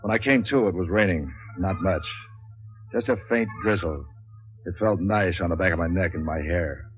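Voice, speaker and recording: An actor playing a cynical, wisecracking private investigator in deadpan, sardonic 1940s radio noir style. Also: male voice, low-pitched, normal speed, lightly acted. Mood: wry narration. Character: cynical, wisecracking hard-boiled male private investigator narrating his case. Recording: muffled old 1940s radio recording, music.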